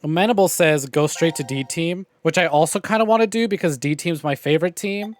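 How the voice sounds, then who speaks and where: A man's voice: on the high side, brisk, dry recording, studio quality. A man giving casual, opinionated livestream commentary on a visual novel game, with wry, profane grumbling. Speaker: game streamer.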